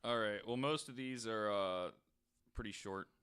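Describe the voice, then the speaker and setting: Low-voiced man, casual podcast storyteller. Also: unhurried, a bit bright, clean tone. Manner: casual, profane, wry. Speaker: podcast co-host. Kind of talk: casual chat, reading out short funny news items.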